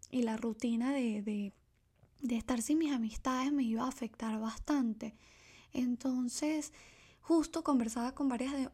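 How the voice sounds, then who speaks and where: A young woman from Ecuador talks through her solo podcast with a slightly high voice. She is chatty and confiding, and her talk is full of asides and fillers. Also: mellow. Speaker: podcast host.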